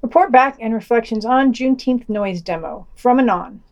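A woman's voice: a little high, normal speed, a little mellow, dull audio. A woman reading a scripted news digest and quotes for a podcast, with dry, slightly pedantic wry commentary.

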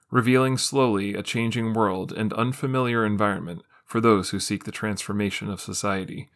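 A man's voice: lowish voice, a bit bright. A man reading a scripted essay aloud as narration. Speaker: reader of an essay.

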